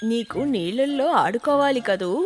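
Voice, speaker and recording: A woman voicing a caring, gently scolding old village grandmother in a children's cartoon. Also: on the high side, lively intonation, normal speed, lightly acted. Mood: fond, chiding.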